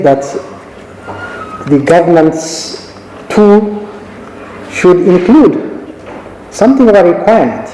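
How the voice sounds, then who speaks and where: A middle-aged man from Kenya, a judge, giving a measured, anecdotal conference talk with frequent restarts. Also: on the high side, dark tone, a little hiss or hum. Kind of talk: conference speech. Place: large conference hall. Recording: echoey hall recording.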